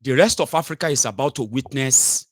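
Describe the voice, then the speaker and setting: A man's voice: mid-range voice, brisk, no echo. A Nigerian-accented man delivers heated political commentary, dropping Yoruba phrases into his English. The sound is dry and close, with almost no room around it. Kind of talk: impassioned commentary.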